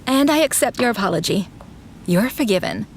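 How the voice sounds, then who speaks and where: Casting: actress as frank, gently teasing woman engineer, calm mid-range voice, audio drama, noisy ambience behind. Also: mid-range voice, a little fast, lightly acted. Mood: forgiving. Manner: calm, reassuring, dry humour. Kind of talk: scripted audio drama acting.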